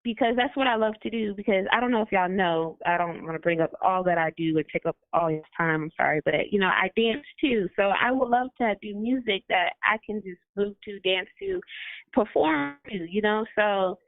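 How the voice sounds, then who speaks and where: A woman's voice: medium pitch, moderate pace, dry recording. A woman musician answering interview questions in a chatty, apologetic way, with frequent laughs. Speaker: guest musician. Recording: tinny phone or call line.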